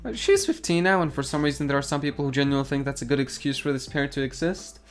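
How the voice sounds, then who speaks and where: Sardonic YouTube commentator, lively pitch. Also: male voice, fast, faint music behind. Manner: dry, mocking, deadpan asides. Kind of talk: sarcastic commentary. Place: garage.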